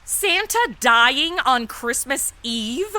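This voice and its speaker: A sarcastic young sidekick, played by a woman in a comic radio play. She is bright and punchy, swinging lively through exasperated, blunt asides. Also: high-pitched, very slow, full character voice. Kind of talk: comic audio drama acting.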